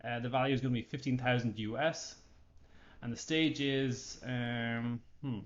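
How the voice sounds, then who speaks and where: A man narrating an unscripted software walkthrough, explaining each action step by step as he goes. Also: mid-range voice, steady tone, normal speed, dull audio. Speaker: software tutorial presenter.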